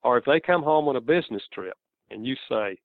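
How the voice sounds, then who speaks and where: A man's voice: mid-range voice, lively intonation, brisk, dry recording. Man, Southern US accent, earnest author guest, lo-fi phone-quality line. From American South. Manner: earnest, explanatory, chuckles between points. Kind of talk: interview answers. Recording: tinny phone-like line, dry.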